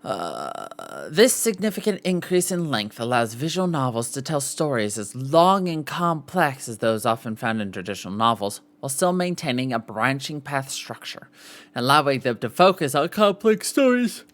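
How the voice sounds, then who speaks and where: A man with a mid-pitched voice reads written material aloud as a podcast host. His delivery is informal, with the odd off-the-cuff aside and self-correction as he works through the text. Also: sing-song, normal speed, fairly bright tone. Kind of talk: reading an article aloud with casual asides.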